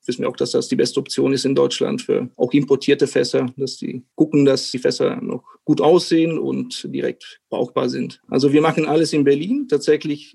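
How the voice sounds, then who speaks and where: A man's voice: medium pitch, gravelly, a little mellow, breathy edge. A man from Italian-speaking Switzerland, a brewmaster explaining his work conversationally as an interview guest.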